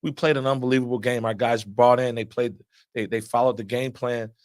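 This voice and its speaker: A man, an assistant basketball coach, answering press questions in a loud voice, repeating words as he builds his points. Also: mid-range voice, fairly quick, no room sound, studio quality. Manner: repeats words often while building answers, steady and earnest.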